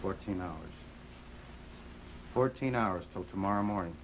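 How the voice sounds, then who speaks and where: A man's voice: low voice, unhurried, slight background noise, rough recording. A man acting in an old-time radio drama, playing a tender, brooding husband who is a desperate gambler. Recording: vintage radio recording with faint music.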